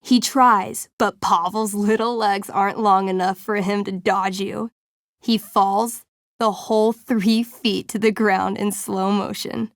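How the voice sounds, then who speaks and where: A young female game master in a video game, telling a fantasy tabletop adventure. She takes her time at an unhurried pace, playful and theatrical, savoring every gory detail. The sound is close and very dry, with a middle-pitched voice. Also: dry recording. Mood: savoring gore. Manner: theatrical storytelling, deliberate, savoring vivid details. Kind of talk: dramatic tabletop game narration, video game acting.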